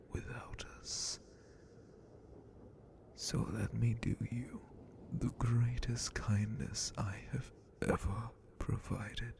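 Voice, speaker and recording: A man voicing an ancient, sadistic dragon captain in an ASMR roleplay, menacing and condescending in a breathy, gravelly whisper with drawn-out theatrical delivery. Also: mid-range voice. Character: ancient sadistic male dragon guard captain, possessive captor.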